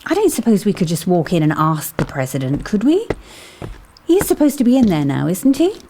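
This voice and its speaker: A mellow-voiced, mysterious female investigator played by an actress in an audio drama. She is calm and perceptive, thoughtful and questioning, with very varied intonation. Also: lowish voice, brisk, slight background noise. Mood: thoughtful, curious. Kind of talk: scripted audio drama acting.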